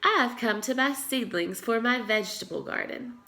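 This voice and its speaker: A woman's voice: a little high, moderate pace, sharp tone. A woman voicing an eager, naive male farmer in a children's storybook, with an enthusiastic, exclaiming delivery. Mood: friendly, agreeable.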